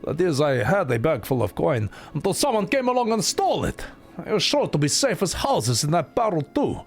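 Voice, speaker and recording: A man's voice: on the high side, very animated, brisk. A man voicing a haughty, aggrieved townsman in a game, in a Russian accent. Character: proud poor man saving his coin. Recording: faint game music behind.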